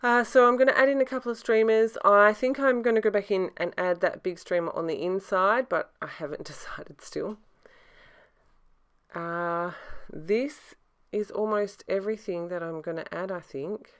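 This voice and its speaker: A woman's voice: medium pitch, fairly even intonation, normal speed, clean tone. A woman talking casually over a scrapbooking process video, her voice a bit scratchy, hesitant and full of fillers. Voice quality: soft-spoken, hesitant with many fillers. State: scratchy, throat-clearing voice. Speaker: scrapbooking crafter.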